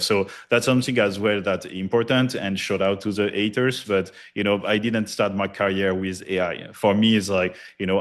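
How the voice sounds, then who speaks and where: A middle-aged man with a French accent gives a loose, energetic live presentation, full of fillers and casual cursing. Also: lowish voice. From France. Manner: loose, hype-driven, heavy filler words and cursing. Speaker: conference presenter, studio founder.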